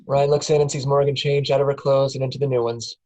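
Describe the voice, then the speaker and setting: A woman's voice: rumbling low, level tone, fast talker, warm tone. A woman reading stage directions aloud in a casual table read, often breaking into laughs.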